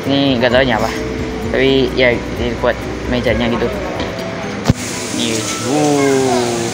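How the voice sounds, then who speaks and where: A young man casually vlogging as he walks through a large indoor buffet restaurant on a handheld camera, chatting excitedly to his viewers. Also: medium pitch, unhurried, over loud music, low-quality recording. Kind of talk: casual walk-through vlog commentary.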